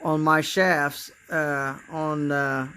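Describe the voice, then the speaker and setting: A man with a raspy voice demonstrating his model trains in a casual, halting way, with many fillers and repeated words. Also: a little high, flat delivery, bright, forceful tone. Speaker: model railroad hobbyist.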